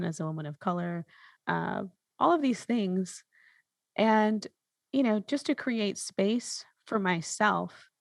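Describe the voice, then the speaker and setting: A woman's voice: mid-range voice, vocal fry, no echo, clean studio sound. A woman speaking reflectively in a conversational interview, searching for her phrasing and often checking in with "right?". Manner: thoughtful, hesitant, searching phrasing with rhetorical "right?".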